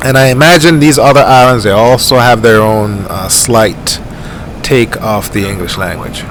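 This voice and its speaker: A middle-aged Jamaican man talks through a simulated flight in an unhurried, rambling way, dropping "uh" and "you know" as he goes. His pitch sits in an ordinary middle range. A steady, clearly audible aircraft engine rumble runs under his voice. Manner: rambling, easygoing, many fillers. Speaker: flight sim streamer. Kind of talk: casual gameplay commentary.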